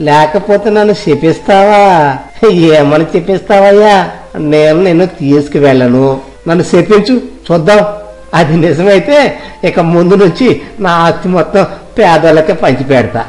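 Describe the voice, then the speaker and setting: A man's voice: on the high side, darkish tone, natural voice, poor audio. A man playing an arrogant, selfish rich villager who talks in a haughty, mocking way in a moral story, music behind him.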